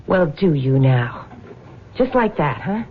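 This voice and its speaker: An actress playing a brash, taunting, venal younger wife with slangy speech in a radio drama. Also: female voice, deep voice, very animated, slow, full character voice. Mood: menacing, taunting. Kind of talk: 1970s radio drama acting. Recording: dull, noisy old radio recording.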